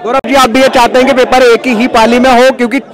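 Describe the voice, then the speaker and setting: A man reporting from a street protest, putting quick questions to students. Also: very high voice, little pitch movement, poor audio. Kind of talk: on-the-spot protest interviews. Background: crowd of protesting students.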